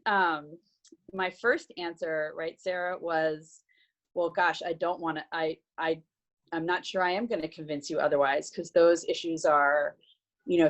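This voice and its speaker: Earnest low-voiced woman on a video call. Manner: hesitant, many fillers and restarts, earnest. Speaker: workshop participant. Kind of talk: casual recap on a video call.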